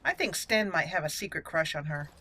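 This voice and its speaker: Bright-voiced woman gaming host. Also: low voice, animated, normal speed. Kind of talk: casual roleplay game commentary.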